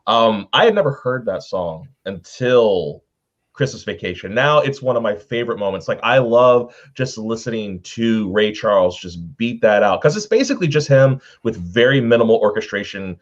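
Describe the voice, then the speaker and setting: A man's voice: lowish voice, lively intonation, moderate pace, lo-fi sound. A man on a panel chatting casually about films in an enthusiastic, rambling way.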